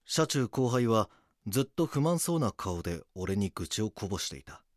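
Police detective, lowish voice, dry close studio sound, grave, weary, scripted drama narration. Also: male voice, big pitch swings, dry recording. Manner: serious, measured storytelling.

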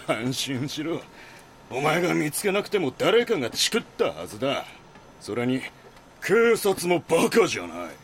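Brusque, swooping magazine chief editor. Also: male voice, a little high, sing-song, measured pace, fairly bright tone, slight background noise, heavily acted. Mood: smug, excited. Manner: blunt, brusque, dismissive toward his junior. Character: pushy, callous male magazine chief editor, gloating over scoops. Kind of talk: audio drama acting.

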